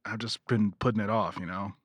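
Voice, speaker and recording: A man's voice: lowish voice, steady tone, fairly quick, no echo, lightly acted. A man playing a friendly, reflective grieving son in an audio drama, with easygoing, conversational delivery. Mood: reminiscent.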